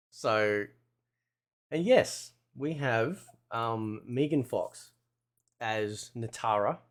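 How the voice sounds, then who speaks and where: A man giving casual, conversational gameplay commentary in a laid-back, slangy way, like a video game content creator. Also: mid-range voice.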